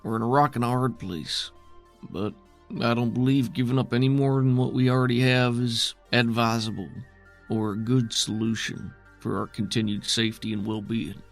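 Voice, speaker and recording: Man playing a gruff, weary, plainspoken frontier holdout. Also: medium pitch, fairly even intonation, moderate pace, soft background music, close to an everyday voice. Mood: grimly defiant. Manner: folksy drawl-like grammar, plainspoken and skeptical. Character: gruff, weary, defiant rural male settlement leader.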